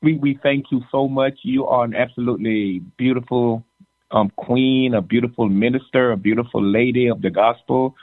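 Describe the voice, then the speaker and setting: A man's voice: medium pitch, steady tone, moderate pace, dry recording. Joking man on a thin phone-like line. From Jamaica. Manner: joking, teasing, repeats words. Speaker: podcast co-host. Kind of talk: casual chat.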